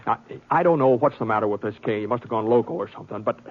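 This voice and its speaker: An actor playing an honest, indignant Western gunslinger with a hesitant, folksy drawling delivery in a 1950s radio western. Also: male voice, mid-range voice, racing, phone-quality sound, close to an everyday voice. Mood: flustered reasoning. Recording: thin, band-limited old radio recording.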